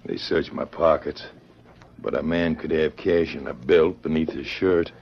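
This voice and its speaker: A man with an American accent acting a suspicious rustic outlaw in an old-time radio western, gruff, folksy and wary, with a gravelly voice. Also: extremely low pitch, steady tone, a little slow, full character voice. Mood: wary, sly. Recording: muffled, noisy vintage radio recording.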